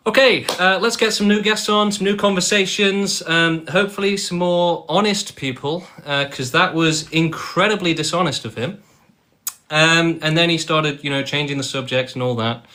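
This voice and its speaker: A man's voice: fairly high pitch, sharp tone. A man hosting a live-streamed debate who calls guests liars bluntly, reads the chat and moves the show along in a combative, dismissive way. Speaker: livestream debate host.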